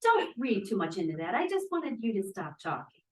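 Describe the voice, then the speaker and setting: Distant and echoey, an actress plays a blunt young woman activist with a mid-pitched voice. She is cynical and challenging, in the style of a sixties drama read aloud at a table. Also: sing-song, fairly quick, a little mellow, natural voice. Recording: echoey, distant room sound.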